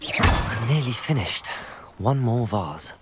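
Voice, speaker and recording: An earnest young wizard. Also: male voice, medium pitch, expressive, slow pace, heavy background noise. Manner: mild, earnest, talks to himself. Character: young wizard student, curious and polite.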